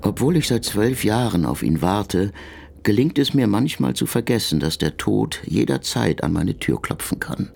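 Weary low-voiced old exile. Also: male voice, sing-song, normal speed, gravelly, a little mellow, husky, heavily acted. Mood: resigned. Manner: resigned, reflective. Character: weary, fatalistic hunted old male revolutionary exile. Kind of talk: radio drama acting.

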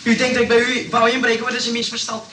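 Sheepish burglar, off mic. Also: male voice, very high-pitched, monotone, rapid-fire, bright, forceful tone. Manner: hesitant, feigning innocence. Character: sheepish burglar making feeble excuses. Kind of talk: old radio play acting. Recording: distant, noisy old radio recording.

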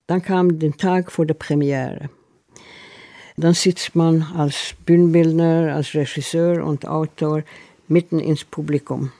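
An older man recalling things in an interview, in halting, German. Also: on the high side, fairly even intonation, vocal fry, mellow, no echo, studio quality. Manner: halting, non-native German phrasing. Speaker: interviewee recalling past events. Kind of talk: unscripted interview recollection.

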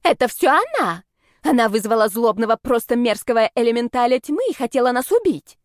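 Shrill, spiteful schoolgirl witch voiced by a woman reader, melodramatic accuser, high and swooping, audiobook fantasy. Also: very animated, a little fast, no room sound, clean studio sound. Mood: panicked flattery.

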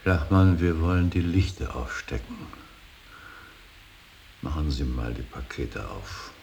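Very deep, gravelly grieving old man. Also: animated, a little mellow, full character voice. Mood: weary, grieving. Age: older. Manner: halting, weighty, brooding, breaking into outbursts. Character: grieving old painter, stern, brooding, bitterly devout father. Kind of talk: slow, solemn German stage-style radio drama acting. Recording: hissy radio play recording.

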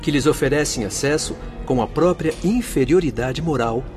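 An actor playing a calm, authoritative doctor in a radio drama, explaining things formally. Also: male voice, a little high, normal speed, natural voice. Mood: grave. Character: calm, authoritative male doctor of a spirit hospital. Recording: music behind the voice.

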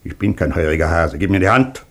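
Gruff, low-voiced domineering army colonel. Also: male voice, animated, fast talker, a bit bright, slight background noise. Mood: gruff, urgent. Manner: barking, commanding, curt. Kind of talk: German radio drama acting.

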